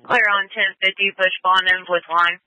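Very bright, piercing female police dispatcher. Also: mid-range voice, normal speed, no echo, rough recording. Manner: flat, clipped, procedural. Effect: police radio. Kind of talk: police dispatch read-backs. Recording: dry police scanner radio audio.

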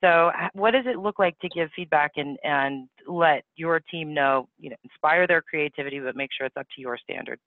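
A man's voice: fairly high pitch, moderate pace, few pauses, no echo. A man hosting a panel interview, asking questions in a chatty way with frequent restarts. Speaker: interview host. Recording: dry, tinny phone-like audio.

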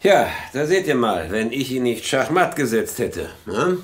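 A man's voice: medium pitch, sing-song, unhurried, a little hiss or hum. A man playing a hungover, breezy police detective in a crime drama, loose and colloquial with a groaning manner. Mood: groggy, self-satisfied. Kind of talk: naturalistic audio drama dialogue.

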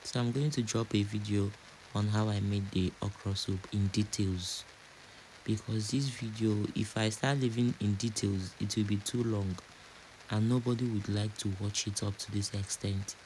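A man's voice: fairly low pitch, fairly even intonation, normal speed, a little mellow, slight background noise, poor audio. A man from Nigeria with a Nigerian accent, chatting casually and repeating phrases as a home cook narrating a cooking vlog.

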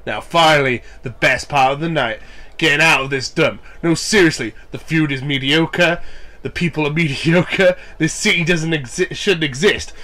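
A man's voice: a little high, moderate pace. Nasal young man, joking gameplay chatter. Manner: joking, swearing, bursts into loud mock cheers. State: a cold, nasal. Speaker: gaming YouTuber. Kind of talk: casual gameplay commentary, reading promos aloud.

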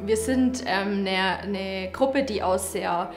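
A woman's voice: medium pitch, takes it slowly. A woman who works as a ski instructor, speaking in an interview for a promotional film. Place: plain indoor studio. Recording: faint music behind the voice.

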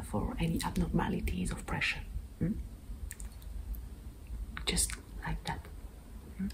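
Casting: woman for ASMR medical roleplay, unhurried breathy whisper with gravelly fry, faint hiss behind. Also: medium pitch, low-quality recording. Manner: slow, soft, gently instructing. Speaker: ASMR roleplayer playing an eye examiner. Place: small indoor studio with dark backdrop.

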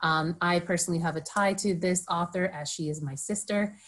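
A young adult woman on a video call introducing an author event, with many ums and a friendly, halting delivery. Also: fairly low pitch, a bit bright. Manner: hesitant, lots of ums, friendly. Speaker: program coordinator introducing the event.

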